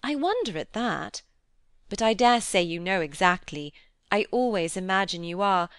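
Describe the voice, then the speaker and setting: A woman reading an audiobook, voicing a vivacious, teasing young society lady with animated, exclamatory, inquisitive speech. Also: medium pitch, normal speed, smooth, dry recording, studio quality, lightly acted. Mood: teasing, probing. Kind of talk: audiobook character dialogue.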